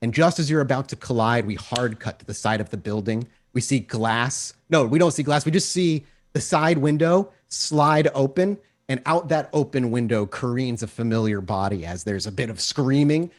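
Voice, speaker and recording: A man's voice: medium pitch, moderate pace, few pauses. A man running a tabletop role-playing game as game master, narrating scenes in descriptive, cinematic detail.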